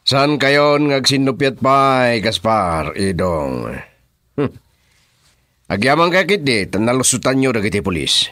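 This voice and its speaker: A man's voice: mid-range voice, very animated, unhurried, sharp tone, no room sound, studio quality. An actor playing a stern, domineering crime boss giving orders to his henchmen in an Ilocano radio drama. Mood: commanding.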